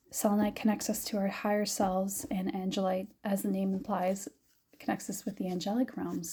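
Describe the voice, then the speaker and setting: A young adult woman giving a gentle, musing tarot reading, her voice a little raspy and scratchy, often laughing softly. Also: mid-range voice. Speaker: tarot reader. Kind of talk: soft-spoken tarot reading.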